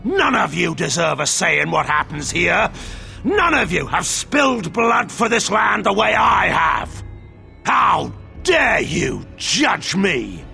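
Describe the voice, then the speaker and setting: A proud, grim warlord of middle age, speaks with a gravelly, slow and imperious delivery. His oratory is theatrical, swinging widely in pitch, in the manner of a fantasy game epic. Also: very animated, sharp tone, raised voice, soft background music, full character voice. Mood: outraged, scornful. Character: proud, embittered middle-aged warlord general, commanding and grim. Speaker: voice actor in a fantasy role-playing game. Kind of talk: dramatic fantasy game cutscene acting.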